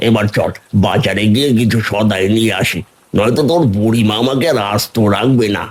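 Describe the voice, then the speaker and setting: A man voicing a weary, grumbling old village uncle in a cartoon, with a drawn-out, unhurried way of talking. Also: lowish voice, husky. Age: older. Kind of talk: cartoon voice acting.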